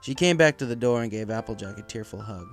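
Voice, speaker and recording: A man's voice: fairly low pitch, animated, quick pace. A man reading scripted fan fiction as its narrator, with music behind his voice.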